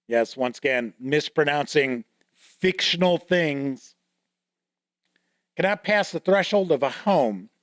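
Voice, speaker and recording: Slow-talking male host, dry close sound. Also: fairly high pitch, no room sound. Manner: chatty, reads entries aloud and comments on them; laughs off his own mispronunciations. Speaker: host of a tabletop gaming news show. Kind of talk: casual product walkthrough.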